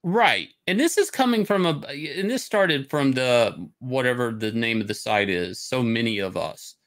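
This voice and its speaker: Male stream host, mid-range voice, off-the-cuff and opinionated, halting but emphatic delivery. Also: mid-range voice, animated, a bit bright. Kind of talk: casual opinionated rant on a livestream. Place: indoor room, livestream on webcam.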